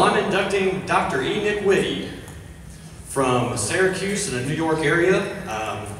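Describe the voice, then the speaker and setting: A man of medium pitch presents an award in a loose, unscripted way, halting and rambling as he goes. The sound is distant and very echoey, with clear background noise. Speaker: award presenter at an induction ceremony. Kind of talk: informal unscripted stage speech. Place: large convention center hall, live event.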